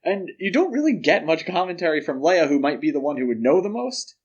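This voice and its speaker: A man co-hosting a podcast, talking casually and explaining his measured opinions on pop culture. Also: a little high, sing-song, husky, lo-fi sound. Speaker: podcast co-host.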